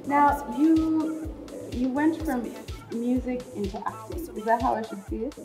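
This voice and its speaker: A woman's voice: on the high side, measured pace. A woman hosting a show, presenting and interviewing guests. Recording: roomy echo with music behind.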